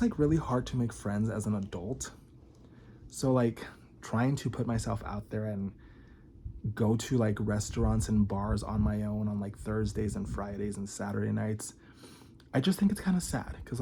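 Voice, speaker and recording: Man, early thirties, lowish voice, candid and rambling, lots of fillers, confessional vlog delivery. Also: warm tone, a little hiss or hum. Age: 30s. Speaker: vlogger. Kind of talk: confessional talk to camera.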